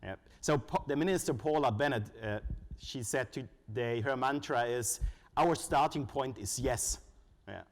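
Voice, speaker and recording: Man, German accent, conference speaker, mid-range voice, long reverb of a large hall. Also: medium pitch. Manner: measured, frequent "uh" fillers. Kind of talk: conference presentation.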